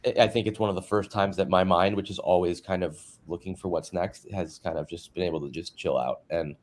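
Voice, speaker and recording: A man's voice: low-pitched, fairly even intonation, a little fast, darkish tone. A man in his thirties reflecting casually on a video call, rambling with many fillers. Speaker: party guest. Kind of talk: casual online video chat.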